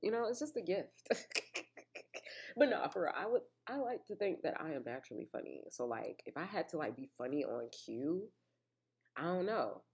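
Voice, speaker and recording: A very deep-voiced woman hosts a solo podcast, talking to her listeners in a loose, chatty way. She peppers her talk with asides and fillers, and she still sounds slightly congested from a recent cough. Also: big pitch swings, normal speed, a little mellow, breathy edge. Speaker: podcast host. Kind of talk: casual solo podcast chat.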